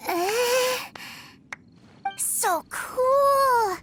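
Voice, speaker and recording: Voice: extremely high pitch, extremely slow, background noise, full character voice. A performer voicing an adoring little brother in a video game, wide-eyed and gushing. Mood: awed. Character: adoring, excitable little boy, younger brother.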